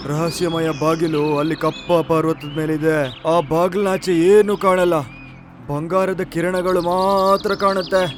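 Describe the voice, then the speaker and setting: High-pitched worried forest animal. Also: male voice, little pitch movement, slow pace, a little hiss or hum. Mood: earnest, worried. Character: worried earnest talking forest animal reporting to his king. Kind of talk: cartoon story voice acting.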